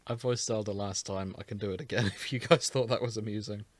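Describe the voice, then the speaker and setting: A man's voice: lowish voice, steady tone, quick pace, no room sound. A man hosting a fan fiction reading show, chatting casually with his co-readers. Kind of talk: casual chat.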